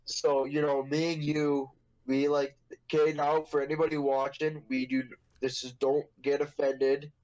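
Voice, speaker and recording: A man's voice: fairly high pitch, flat delivery, a little slow, darkish tone, muffled recording. A young man hosting a casual podcast, telling a story in a rambling, profane, joking way. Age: young adult. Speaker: podcast host.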